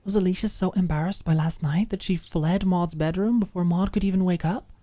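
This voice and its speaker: A woman reading a scripted story as an audiobook narrator, in an expressive ASMR storytelling style. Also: on the low side, fairly quick.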